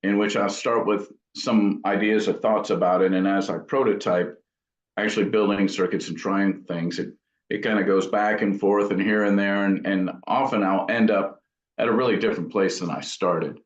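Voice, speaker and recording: A man's voice: on the low side, steady tone, normal speed. A man being interviewed as an amp builder, talking casually in thoughtful, rambling explanations. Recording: dull, echoey room sound.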